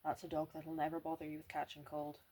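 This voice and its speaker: A pushy old street seller hawking puppies, loud and slow with salesman's patter, as a woman reading a novel aloud puts him on. The voice has a low, husky edge. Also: completely flat delivery. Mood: salesy. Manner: salesman's patter, louder, drawn out. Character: older male street puppy seller, pushy. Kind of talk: amateur read-aloud of a novel.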